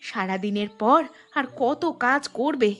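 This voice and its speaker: A woman playing a practical, chatty village housewife in a Bengali cartoon, speaking in an expressive, homely way. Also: a little high, slow pace. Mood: busy, content.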